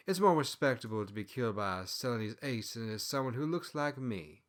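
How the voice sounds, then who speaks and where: Man reading as an outspoken tanuki servant woman, animated. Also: mid-range voice, lightly acted. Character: outspoken female tanuki servant, earnest and opinionated.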